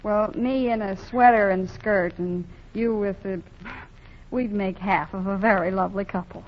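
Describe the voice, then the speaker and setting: Casting: woman as a wry young shopgirl, mid-pitched, brisk and teasing, muffled old broadcast. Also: steady tone, close to an everyday voice. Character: plucky, modest young working woman. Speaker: lead actress in a radio play. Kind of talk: 1940s radio acting. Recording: muffled 1940 radio broadcast.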